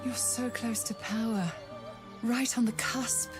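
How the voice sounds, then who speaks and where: An actress playing a mysterious, seductive woman who visits dreams, coaxing softly and intimately in a video game. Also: a little high, steady tone, takes it slowly, over music. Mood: seductive, soothing. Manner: breathy, coaxing, intimate, often whispering. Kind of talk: video game dialogue acting.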